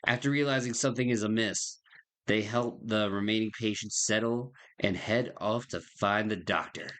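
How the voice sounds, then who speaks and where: A man on a game livestream, reading the story text aloud in a plain, slightly halting way. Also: on the low side. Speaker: game streamer. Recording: loud game music behind.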